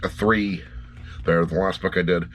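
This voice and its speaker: A man's voice: sits low, fairly even intonation, moderate pace, a bit bright, slight background noise. A middle-aged man giving a casual, rambling review to camera in a blunt, wry way, often repeating phrases for emphasis. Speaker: audiobook reviewer.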